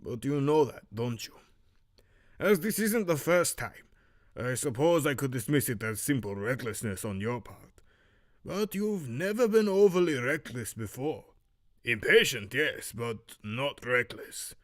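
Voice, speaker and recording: A man voicing a stern master archery instructor with a muddled, Romanian-like Eastern European accent, lecturing in a measured, severe way for an audio drama. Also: mid-range voice, very animated, fairly bright tone. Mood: stern, admonishing. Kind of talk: fan audio drama character acting.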